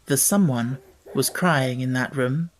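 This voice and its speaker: A man reading an audiobook as narrator, telling the story in a measured, expressive way. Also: mid-range voice.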